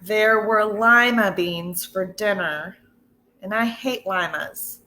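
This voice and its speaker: Slow-paced woman reading a storybook aloud. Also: mid-range voice. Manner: expressive, deliberate, pauses to ask listeners questions. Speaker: teacher reading a picture book to children.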